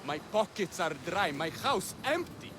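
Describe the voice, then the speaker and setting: A proud, pompous man of ancient Greece, theatrical about his honour in a game scene. His voice is fairly high and swoops around. Clear outdoor noise surrounds him. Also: sing-song, unhurried. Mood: grandly aggrieved. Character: proud, melodramatic, honour-obsessed Greek family man. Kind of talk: video game cutscene acting.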